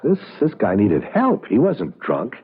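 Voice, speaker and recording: A man's voice: lowish voice, huge pitch swings, measured pace, husky, exaggerated voice. Old muffled radio play: earnest, stammering, good-hearted man, very swooping delivery. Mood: earnest recollection. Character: kindly, earnest, flustered man telling what he saw. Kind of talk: radio drama acting.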